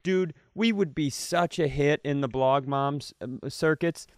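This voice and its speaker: A man's voice: on the high side, unhurried, dry recording, studio quality. A man co-hosting a comedy podcast, riffing and telling stories in a casual, playful way. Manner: riffing, storytelling, playful bits. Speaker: podcast co-host.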